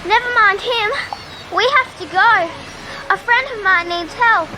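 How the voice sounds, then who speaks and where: A man voicing a feisty young girl in a comic radio play, in a shrill falsetto. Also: measured pace, background noise. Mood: indignant.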